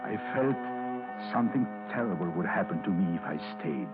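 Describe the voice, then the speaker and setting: A man's voice: sits low, lively intonation, a little fast. A panicky, hunted businessman on old radio. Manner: tense, anxious inner monologue. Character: frightened middle-aged businessman fearing arrest. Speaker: narrator and lead character. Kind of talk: 1940s radio drama acting. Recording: muffled old radio broadcast with music.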